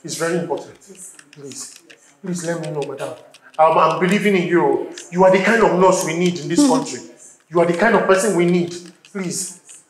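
A man's voice: sits high, fairly quick, natural voice. A man with a Nigerian accent acting an anxious, stammering husband in a screen drama, veering between pleading and explosive. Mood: hushed pleading. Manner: stammering, repeats words, switches from apologetic to insulting. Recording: roomy echo, music underneath.

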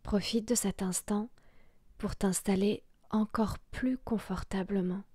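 Female meditation guide. Half-whispered, breathy and soothing, very unhurried, close and dry sound. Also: mid-range voice, steady tone, dry recording. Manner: slow, soothing, hushed delivery with long pauses. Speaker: yoga nidra guide.

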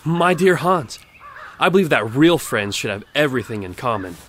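A man's voice: medium pitch, big pitch swings, normal speed, fairly bright tone, a little hiss or hum. A man playing a rich, self-righteous miller in an audio drama, speaking pompously.

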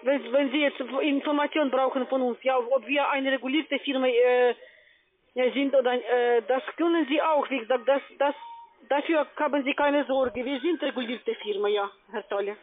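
High-pitched foreign-accented saleswoman on the phone. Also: fairly even intonation, moderate pace. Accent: foreign accent in German. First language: not German. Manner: pushy, repeatedly tagging phrases with "ja". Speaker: call-center sales agent. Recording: tinny narrowband phone line.

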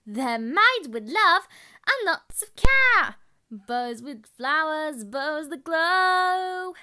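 A woman's voice: high-pitched, sing-song, drawn-out words, pushed and bright. A woman performing fan-made cartoon character lines, half sung and half spoken, in a cutesy way. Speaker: amateur voice actor.

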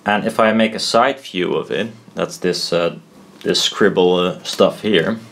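A man with a fairly low voice gives an informal hands-on explanation, thinking aloud as he goes. He is halting and unsure of himself, trailing off and correcting his wording, with a blunt, casual turn of phrase. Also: vocal fry, fairly bright tone. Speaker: maker explaining a DIY build. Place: indoors at a desk.

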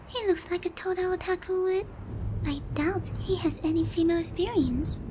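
Young anime dragon girl, tiny very high voice, unhurried, haughty but flustered, ASMR roleplay, faint background noise. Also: a little mellow, rough recording. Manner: condescending, hesitant with giggles and murmured asides. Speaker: voice actor.

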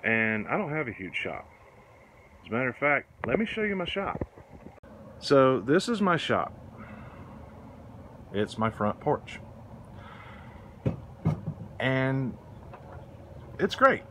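A middle-aged man hosting a woodworking channel, giving a relaxed, rambling tour of his porch workshop. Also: mid-range voice, slow pace, pauses often, slight background noise. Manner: relaxed, rambling, with restarts and fillers. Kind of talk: casual shop tour. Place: outdoor front porch workshop under a canopy.

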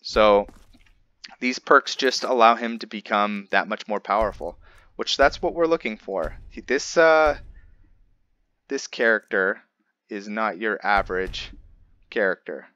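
A man giving casual gameplay commentary as host, thinking aloud in a halting way. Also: on the low side, animated, sharp tone, clear, resonant tone, no room sound, dull audio. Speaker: gaming video host.

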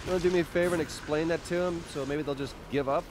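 A man's voice: fairly high pitch, steady tone, rapid-fire, lightly acted. A man playing a cocky, wisecracking young superpowered rebel with an American accent in a video game. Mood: exasperated, questioning. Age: young adult. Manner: casual, wisecracking. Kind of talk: video game character dialogue. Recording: game city ambience and traffic noise.

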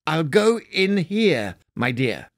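Man doing a reformed old miser, elderly man, effusive, high-pitched, unhurried. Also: sing-song, vocal fry, no echo, studio quality, exaggerated voice. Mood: eager, effusive. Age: older. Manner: eager, effusive, generous.

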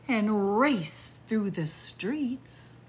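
A middle-aged woman librarian reading a picture book aloud to young children in a library, in a sing-song way with soft hushed asides. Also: medium pitch, animated, very slow, slight background noise. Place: library with bookshelves, indoors.

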